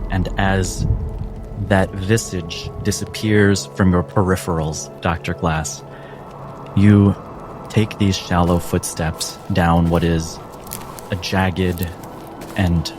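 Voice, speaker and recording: A man narrating a tabletop game as game master, in deliberate, dramatic phrases with atmospheric pauses, improvising the scene. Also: low-pitched. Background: music under the voice.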